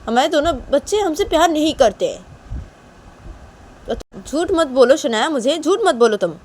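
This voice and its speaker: A woman's voice: high voice, lively intonation, normal speed, a little mellow, pitched above the performer's own voice. A woman voicing a hurt mother in a story, accusing and scolding insistently. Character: hurt, reproachful mother scolding her children. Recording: clear background noise.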